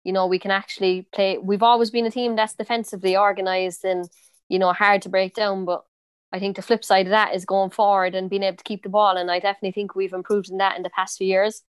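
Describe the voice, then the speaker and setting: Woman with a strong Cork accent, clear and dry. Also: mid-range voice, fast talker, no room sound. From Ireland. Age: 20s. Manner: relaxed, many fillers and restarts. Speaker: interviewee, footballer. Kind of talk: casual interview.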